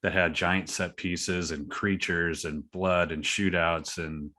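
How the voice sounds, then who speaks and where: A man's voice: sits low, flat delivery, slow pace, bright, forceful tone. A man talking casually as a podcast guest, an indie creator with an earnest, self-deprecating way of explaining his work. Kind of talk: casual interview chat.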